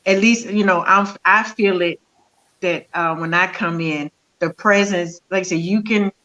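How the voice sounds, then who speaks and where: A woman cast member speaking as a guest on a talk show, halting and hesitant with many fillers. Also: fairly low pitch, steady tone, sharp tone, dull audio. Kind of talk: casual interview answer.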